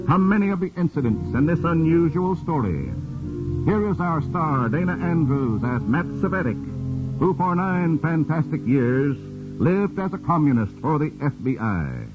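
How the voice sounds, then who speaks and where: A man announcing a 1950s radio drama in a crisp, declamatory style. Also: a little high, very animated, a little slow. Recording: tinny old radio broadcast over loud music.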